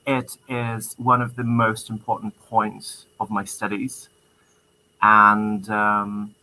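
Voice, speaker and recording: A man's voice: fairly low pitch, bright, forceful tone, rough recording. A young man from the Czech Republic with a Czech accent, talking about his studies as a guest, hesitant with many fillers. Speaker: student guest. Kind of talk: casual interview answers.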